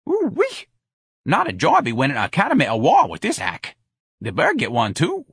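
A man's voice: high voice, huge pitch swings, normal speed, fairly bright tone, breathy edge, no echo, studio quality, voice pushed up, exaggerated voice. A man voicing a sarcastic, streetwise male porter who talks in a drawling, mocking dialect in an audiobook.

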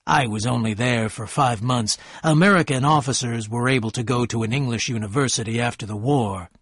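A man voicing a polite, quietly insistent gentleman in love, in a story reading. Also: mid-range voice, a little fast, breathy edge, no room sound, clean studio sound.

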